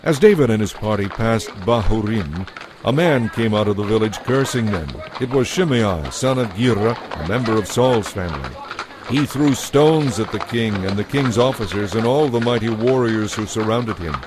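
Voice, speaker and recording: A man's voice: fairly low pitch, lively intonation, normal speed, noisy background. A man narrating a dramatized Bible story from a script.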